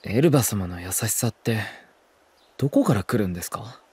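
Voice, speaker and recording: A man's voice: fairly low pitch, normal speed, breathy edge, dry recording, heavily acted. An actor playing an eager, affectionate, puppyish younger man in a Japanese drama CD. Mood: quiet, earnest. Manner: pleading, excitable, murmuring inner monologue.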